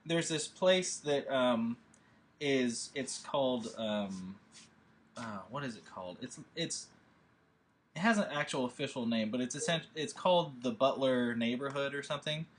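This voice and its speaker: A man's voice: medium pitch, measured pace, slight background noise. Rambling, upbeat man on a video call. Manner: rambling, enthusiastic, many fillers and restarts. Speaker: filmmaker leading a table read. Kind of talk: casual chat. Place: at home.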